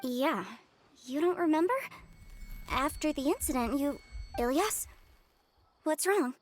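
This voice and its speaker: An actress playing a caring, plain-spoken young sister in a video game, casual but firm and reassuring. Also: female voice, high voice, animated, unhurried. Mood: concerned. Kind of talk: scripted video game voice acting.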